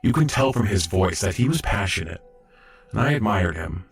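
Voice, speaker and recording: An actor playing an earnest, modest young robot apprentice medic in an audio drama, reflective and a little hesitant. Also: male voice, lowish voice, animated, normal speed, gravelly, faint music behind. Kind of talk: audio drama acting and narration.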